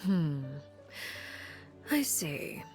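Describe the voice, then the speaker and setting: A woman playing a cool, commanding leader in a video game, speaking in a measured, formal, dryly wry way. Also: fairly low pitch, big pitch swings, darkish tone, faint music behind, exaggerated voice. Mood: cool, guarded.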